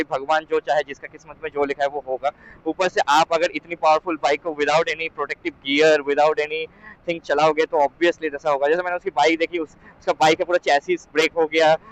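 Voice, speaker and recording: A man's voice: on the high side, fairly bright tone, poor audio. A young man with an Indian accent talks casually in a rambling riding vlog, mixing in English phrases, recorded on a helmet mic outdoors. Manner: chatty, rambling, mixes in English words and phrases. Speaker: motorcycle vlogger. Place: outdoors on a motorcycle on the road.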